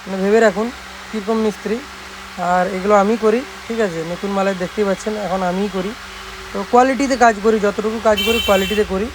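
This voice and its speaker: A man's voice: high voice, fast. A young man with a West Bengal Bengali accent, presenting products casually in an informal, repetitive, reassuring way. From West Bengal, India. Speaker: speaker repair technician and channel host. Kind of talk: casual product showcase vlog. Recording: loud steady background noise, amateur recording.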